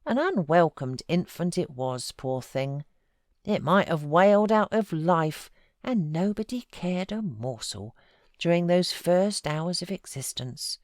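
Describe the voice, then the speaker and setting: Low-voiced British woman reading unhurriedly. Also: no room sound, clean studio sound. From United Kingdom. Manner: measured, expressive storytelling. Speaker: audiobook narrator and voiceover artist. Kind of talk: scripted literary audiobook narration.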